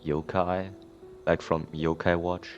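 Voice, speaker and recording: A man's voice: low-pitched, little pitch movement, takes it slowly, muffled recording. A man giving casual, off-the-cuff game commentary in a sarcastic, incredulous manner. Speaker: gamer, playthrough commentator. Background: game music.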